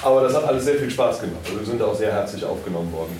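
Lowish male panelist, distant and echoey. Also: lively intonation, rapid-fire, darkish tone. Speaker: audio drama producer on a convention panel. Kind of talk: explanatory panel talk. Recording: echoey room, distant mic, faint noise.

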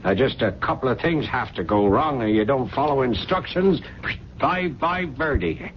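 A man's voice: medium pitch, animated, normal speed, close to an everyday voice. An actor playing a streetwise working-class repairman in a radio drama, colloquial and jokey, explaining things with relish. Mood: jovial, cautioning. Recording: dull, noisy 1970s radio broadcast.

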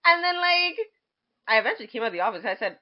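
A woman's voice: a little high, sing-song, fast, dull audio. A woman in her twenties chatting casually as a podcast co-host, telling anecdotes in a loose conversational way.

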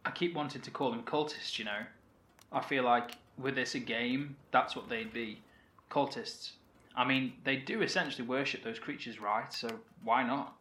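An actor playing a man survivor in an audio horror drama, telling a story in a vivid, animated way. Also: mid-range voice, moderate pace, close to an everyday voice. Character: man survivor describing a strange void. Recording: roomy echo, faint ambience.

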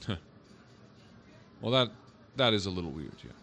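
Actor as a low-voiced skeptical cop. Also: male voice, sing-song, normal speed, a bit bright, slight background noise. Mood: wry, dismissive. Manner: doubting, questioning, casually hesitant. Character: skeptical male police officer, wry and placating. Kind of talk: audio drama acting, naturalistic.

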